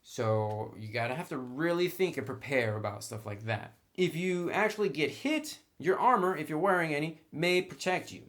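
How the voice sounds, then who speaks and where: A man's voice: on the high side, animated, normal speed, hardly any pauses, fairly bright tone. A man presenting a tabletop game review in a casual, conversational way, explaining things step by step straight to the viewer. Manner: conversational, instructional, addresses the viewer directly.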